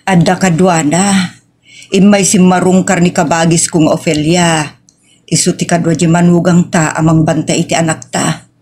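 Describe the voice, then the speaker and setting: A woman's voice: on the low side, level tone, normal speed, darkish tone, slightly rough. A woman with a Filipino accent playing a weary, sorrowful older mother in a family audio drama, speaking plaintively. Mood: weary, grave. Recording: music under the voice.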